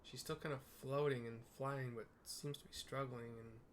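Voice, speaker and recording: A man's voice: mid-range voice, steady tone, moderate pace, slight background noise. A man playing a video game and casually describing what happens on screen for listeners, with loose, hesitant phrasing. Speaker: player narrating a game. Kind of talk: casual live audio description of gameplay.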